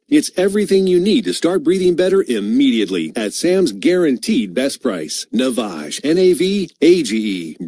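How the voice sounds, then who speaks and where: Ad VO, husky and mellow, lively reassuring read. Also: male voice, mid-range voice. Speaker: ad voice-over. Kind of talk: scripted product ad read.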